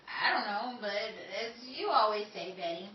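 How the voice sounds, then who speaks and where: A woman's voice: mid-range voice, expressive, normal speed, a little hiss or hum, close to an everyday voice. A woman playing a fretful, melodramatic heroine in a 1930s radio comedy. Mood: scheming. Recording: echoey room, distant mic, muffled sound.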